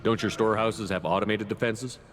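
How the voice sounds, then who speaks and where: A direct, businesslike soldier in command played by a man in a video game. His voice is low and he talks quickly and coolly, with a long reverb around him. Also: steady tone, natural voice. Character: male human military commander, cool and businesslike.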